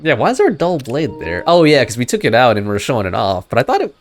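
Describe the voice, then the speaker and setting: A man's voice: medium pitch, wildly swooping pitch, quick pace. A man doing casual, slangy, joking reaction commentary as he streams a game. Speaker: gaming streamer. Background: game music.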